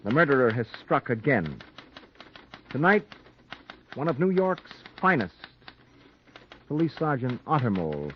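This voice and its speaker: An American actor playing an excitable, self-justifying newspaper reporter in a 1940s radio noir drama. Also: male voice, mid-range voice, a little slow, long pauses. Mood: bitter. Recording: muffled, noisy 1940s radio recording.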